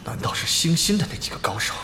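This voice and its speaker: A man's voice: fast talker, slight background noise, lightly acted. An actor in a Chinese audio drama playing an agitated, blustering male guild leader. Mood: alarmed. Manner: agitated, forceful.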